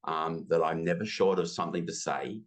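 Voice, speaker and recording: Australian man, 50s, deep voice, chatty interview guest who pokes fun at himself. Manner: chatty, self-deprecating, talks in quick asides. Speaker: interview guest, business software community founder. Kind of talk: casual interview chat.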